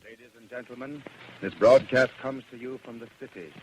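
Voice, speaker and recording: An actor playing a grave, foreboding radio announcer in a vintage drama. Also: male voice, medium pitch, fairly quick, darkish tone, close to an everyday voice. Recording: muffled, faintly noisy old radio recording.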